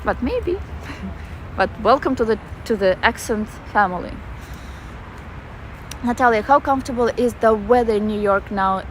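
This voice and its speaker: A woman's voice: a little high, lively intonation, normal speed, pauses often, a little hiss or hum, low-quality recording. A woman with a Russian accent chatting casually while walking outdoors, reading out viewer comments on a phone livestream. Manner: chatty, reads viewer comments aloud with fillers. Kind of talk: casual live-stream chat reading comments. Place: outdoors in a city park.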